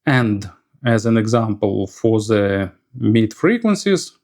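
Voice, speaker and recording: A man's voice: on the low side, sing-song, slow pace, a little mellow. Man reviewing audio gear, hesitant phrasing. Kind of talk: product review commentary.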